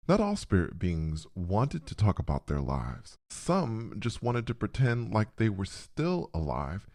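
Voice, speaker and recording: Guy reading a story out loud, slow and dramatic, voice goes up and down a lot. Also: fairly low pitch. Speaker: narrator. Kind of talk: scripted first-person story narration.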